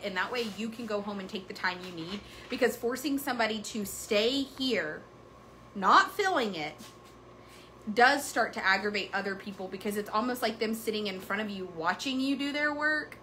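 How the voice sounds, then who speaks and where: Woman in her 30s chatting to the camera, frank, bright tone, bit of background hum. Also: medium pitch, poor audio. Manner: frank, animated, swears casually. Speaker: horse business owner and channel host. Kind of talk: casual chat to viewers. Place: wood-paneled indoor room.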